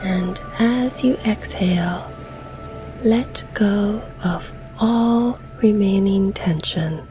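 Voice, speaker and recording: A woman leading a scripted guided meditation in a soft, breathy, soothing delivery with long pauses. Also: medium pitch, rough recording. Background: calm background music.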